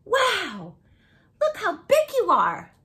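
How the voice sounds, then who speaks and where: Woman putting on a high-pitched excited tiny dog. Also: slow, heavily acted. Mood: excited, amazed.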